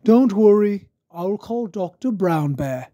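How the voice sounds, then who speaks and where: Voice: medium pitch, expressive, slow, dark tone, no room sound, pitched above the performer's own voice. A narrator voicing a cartoon father pig who calls out and reassures in a storybook reading. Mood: reassuring, firm. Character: cheerful cartoon father pig calling out to children.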